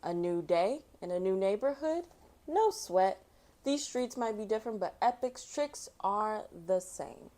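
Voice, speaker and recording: A woman hosting a storytime, reading aloud for children in an expressive way and chatting casually between passages. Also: medium pitch, unhurried. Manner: expressive, acts out sound effects and exclamations. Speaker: storytime host reading a picture book.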